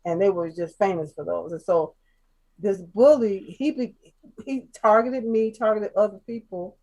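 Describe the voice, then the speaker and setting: A woman from Chicago, a guest telling her life story in casual chat, soft-spoken and halting, with many fillers and restarts. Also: medium pitch, low-quality recording. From Chicago, USA.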